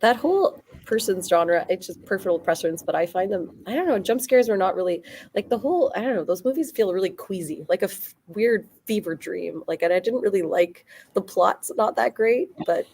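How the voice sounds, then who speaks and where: A woman's voice: medium pitch, lively intonation, fairly quick, a little mellow, slight background noise. A woman speaking as a panel guest in a casual debate, in a hesitant, loosely rambling way. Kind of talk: casual debate about horror films.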